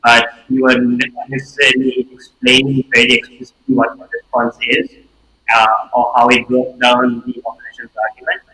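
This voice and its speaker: A male student debater from Sri Lanka answering steadily and deliberately. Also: mid-range voice, monotone, sharp tone, poor audio. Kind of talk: reflective interview answer.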